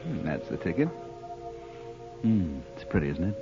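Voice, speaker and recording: An American actor playing a courteous, music-loving hired killer in an old radio crime drama, cool, mocking and dryly polite. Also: male voice, sits low, sing-song. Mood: cool, dismissive. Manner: unhurried, dryly polite, philosophizing. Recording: muffled, noisy old radio recording.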